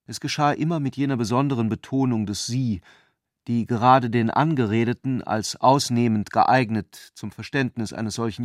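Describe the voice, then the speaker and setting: A man narrates a literary radio play at a middle pitch. His delivery is measured and articulate, recorded very close and dry with no room around it. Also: no echo.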